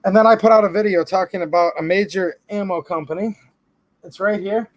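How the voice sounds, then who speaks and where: A man's voice: high-pitched, a little fast, no echo. A man with a Michigan accent hosting a firearms channel, talking casually to camera in a chatty, sarcastic way and often calling his viewers "guys.". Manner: holds items up to the camera while talking.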